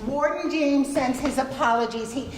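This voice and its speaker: An actress playing a prim, kindly, gently fussy woman in a live stage play. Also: high-pitched, moderate pace, close to an everyday voice. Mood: firm, apologetic. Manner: gently scolding, polite, fussy. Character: prim, kindly woman organizing a prison party. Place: stage of a theater, live performance. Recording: echoey hall, faint noise.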